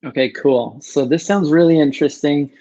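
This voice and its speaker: A man's voice: on the high side, normal speed, dark tone, muffled recording. A man in his forties hosting an interview show over a video call from a room at home, upbeat and jokey. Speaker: podcast host. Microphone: earbuds on video call.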